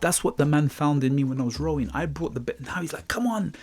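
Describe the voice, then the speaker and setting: A man's voice: fairly high pitch, quick pace, background noise. A middle-aged man from Guyana hosting a motivational podcast talk, reflective, repeating words and checking in with the listener. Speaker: podcast host.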